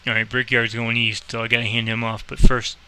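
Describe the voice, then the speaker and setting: A man's voice: medium pitch, flat delivery, fairly quick. A man giving a casual, rambling game tutorial, thinking aloud as he explains and making air traffic controller calls.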